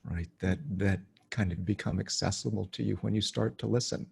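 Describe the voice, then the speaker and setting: A man on a panel talking in a casual, reflective way, hesitant and halting, with many fillers and repeated words, over a video call. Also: fairly low pitch, steady tone, gravelly, darkish tone, a little hiss or hum. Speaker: panelist, somatics speaker.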